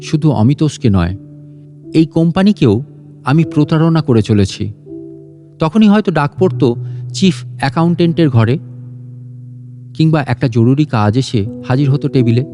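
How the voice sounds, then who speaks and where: A man reading a literary story aloud in an expressive, measured, dramatic way. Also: on the high side. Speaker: audiobook narrator and story channel host. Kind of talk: dramatic first-person literary story narration. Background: soft plucked-string instrumental music.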